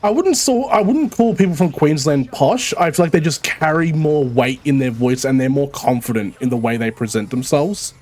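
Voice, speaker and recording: Casual Melbourne-accented man gaming. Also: on the high side. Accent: Australian, Melbourne. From Australia. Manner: relaxed, swears freely, quick rambling talk. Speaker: streamer playing co-op.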